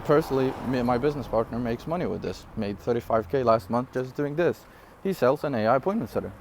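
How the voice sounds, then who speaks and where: A man in his twenties from Syria, for whom English is not his first language, giving an energetic, rambling business pitch straight to camera with frequent fillers and laughs. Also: slight background noise. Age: 20s. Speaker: online business coach. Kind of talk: casual direct-to-camera talk.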